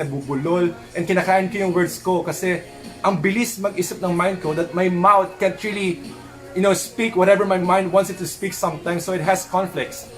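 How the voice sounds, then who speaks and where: Earnest young man, fairly high voice. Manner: earnest, switches between English and Tagalog; says he stutters when his thoughts run fast. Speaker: pageant titleholder answering fan questions. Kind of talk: casual live-stream Q&A.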